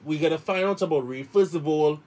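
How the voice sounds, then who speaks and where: A man's voice: fairly high pitch, a little fast, a little mellow. A man, hosting a radio show from the studio, upbeat and friendly, often repeating his words. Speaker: radio host. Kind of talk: casual radio interview.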